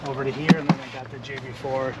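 A man's voice: medium pitch, flat delivery, fast talker, a loud crowd. A middle-aged man chatting casually as a guest about his car, hesitant and repeating words, recorded outdoors and in a car on an action camera. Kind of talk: casual chat. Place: outdoor parking lot, then driving a car.

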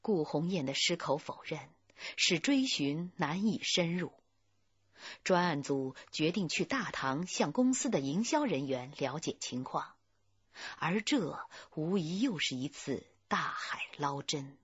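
Female radio drama narrator: low, raspy, close and dry. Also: animated, measured pace, dry recording. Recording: muffled band-limited dry studio audio.

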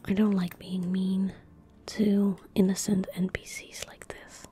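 A woman in her twenties giving gentle ASMR game commentary in a soft, breathy whisper, close to a desktop microphone. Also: medium pitch, little pitch movement. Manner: gentle, unhurried, murmuring. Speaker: streamer playing a video game. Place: small indoor room at a desk. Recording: close-miked with faint background noise. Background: faint game ambience.

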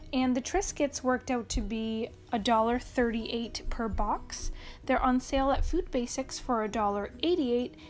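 Canadian lady doing a grocery haul voiceover, high voice, upbeat, quick price rundowns, little bit of music behind. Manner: brisk, upbeat listing of prices and savings. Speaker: couponing channel host. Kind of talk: voice-over grocery haul walkthrough. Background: faint background music.

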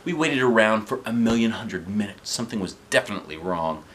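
Animated dad reading aloud at home. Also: male voice, fairly low pitch, fairly quick. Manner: animated, playful character voices. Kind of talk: expressive read-aloud with asides. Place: living room, seated on sofa.

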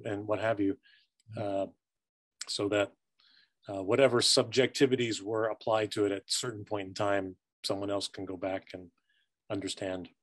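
A middle-aged man with a low voice speaks as an archivist on a panel. His delivery is measured and halting, careful with each phrase. The sound is dry, on a video call. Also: no room sound. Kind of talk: academic discussion on a video call. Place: book-lined office, indoors. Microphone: video call, close to computer.

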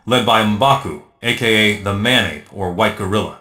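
An actor playing an earnest, authoritative superhero leader giving a measured mission briefing in a video game. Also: male voice, lowish voice, pushed and bright. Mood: solemn, resolute. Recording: roomy echo, music underneath.

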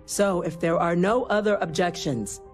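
An actress playing an authoritative, decisive council leader in a video game, composed and formal. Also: female voice, on the low side, moderate pace, natural voice. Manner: commanding, formal. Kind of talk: video game character dialogue. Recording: loud music underneath.

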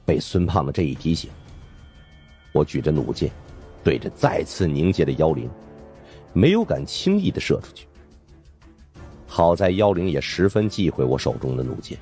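Casting: male suspense audiobook narrator, deep pitch, dramatic lively intonation, soft music bed. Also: moderate pace, long pauses. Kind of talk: dramatic scripted narration of supernatural suspense fiction.

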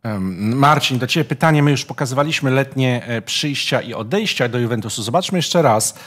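A man's voice: mid-range voice, lively intonation, brisk, fairly bright tone. A man hosting a football talk show, moderating and posing questions, in a TV studio. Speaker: host.

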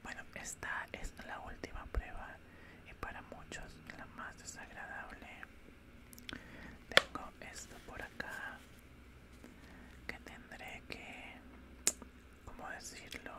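A young man playing a calm, politely menacing alien doctor in a soothing whispered ASMR roleplay, close to the microphone. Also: lightly acted. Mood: reassuring. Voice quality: soft, breathy unvoiced whisper. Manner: slow, soothing, matter-of-fact. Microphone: close studio microphone.

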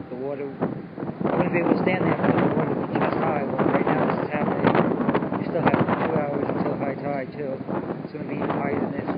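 A man's voice: a little high, lo-fi sound. A man reporting from a docked boat during a storm, urgent and shaking from the cold, filmed on a handheld phone outdoors. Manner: urgent, shouting over wind. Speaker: vlogger filming from a docked boat. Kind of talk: on-the-spot storm reporting to camera. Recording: loud music over the voice. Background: wind and a song playing.

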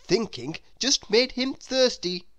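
A man reading a children's story aloud as narrator, in an expressive, deliberate way. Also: extremely high pitch. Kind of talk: scripted narration for young children.

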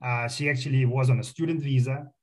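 A middle-aged man with a Russian accent talks in a loose, chatty way, full of ums and restarts, as a guest in a radio studio. His pitch sits in an ordinary middle range. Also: steady tone, fast talker, a little mellow. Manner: chatty, many fillers and restarts, proud. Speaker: company founder guest. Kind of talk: casual radio interview.

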